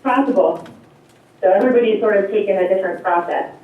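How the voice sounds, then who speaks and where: A woman presenting a program at a board meeting, explaining and answering questions with a loud voice, many fillers and light laughs. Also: medium pitch, fast talker, a little hiss or hum. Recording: echoey, distant, tinny phone-like line.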